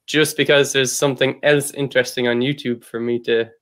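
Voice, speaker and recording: An Irish man hosting a podcast interview, talking in a relaxed, rambling way with lots of "like" fillers. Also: medium pitch, a little fast. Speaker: podcast host. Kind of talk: casual conversation.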